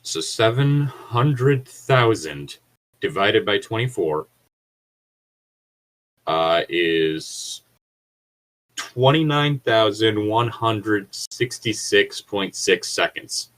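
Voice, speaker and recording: Low-pitched man in rambling casual commentary. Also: animated, very slow, long pauses, fairly bright tone, low-quality recording. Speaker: podcast co-host. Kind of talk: casual reading with joking commentary.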